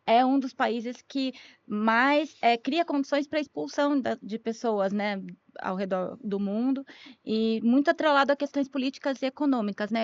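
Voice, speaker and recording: A woman's voice: fairly high pitch, dry recording, muffled recording. A woman with a Brazilian accent interviewing a podcast guest in a conversational, question-led way.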